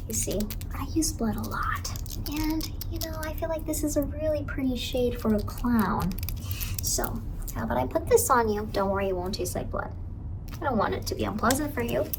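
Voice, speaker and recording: A woman playing a creepy, sweetly doting ice cream truck clown in a soft-spoken ASMR roleplay, coaxing and soothing, with whispers and repeated little phrases. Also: on the high side, a little hiss or hum, close to an everyday voice, poor audio. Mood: cheerful, eerie.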